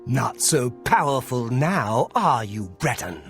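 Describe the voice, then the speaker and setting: Jeering dark elf jailbird for a fantasy game, slow delivery, swooping pitch, contemptuous. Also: male voice, sing-song, faint music behind. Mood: mocking contempt. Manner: mocking, drawn-out contempt. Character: sneering, taunting male dark elf prisoner.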